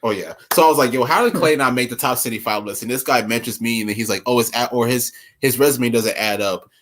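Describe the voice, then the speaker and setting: A man's voice: medium pitch, quick pace, fairly bright tone, a little hiss or hum. A man in his twenties chatting casually and rambling about basketball, laughing often. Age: 20s. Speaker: podcast co-host. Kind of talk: casual sports chat.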